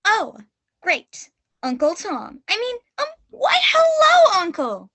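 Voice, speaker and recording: A young woman acts out a little girl with a very high, swooping voice, recorded close and dry. She is sing-song and sweet on top, surly underneath, in an exaggerated comic radio-play style. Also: wildly swooping pitch, slow pace, pushed and bright, no room sound, heavily acted. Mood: surly, falsely polite. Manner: sing-song, exaggerated politeness slipping into surliness. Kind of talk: student radio play acting.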